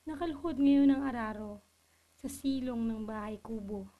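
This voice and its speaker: Female poetry reader, dark soft tone, somewhat high register, solemn and measured literary delivery.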